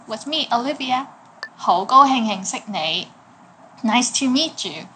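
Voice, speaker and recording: A woman's voice: medium pitch, a bit bright, a little hiss or hum. Cheerful woman teaching phrases at home. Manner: cheerful, switching between English and Cantonese, laughing often. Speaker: language teacher. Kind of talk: casual video lesson. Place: small home room, indoors.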